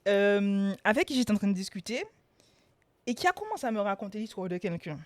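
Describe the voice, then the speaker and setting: Woman, podcast host, chatty, lively, mid-pitched, dry recording. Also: brisk, no room sound. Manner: chatty, lively, friendly questions. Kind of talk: podcast interview.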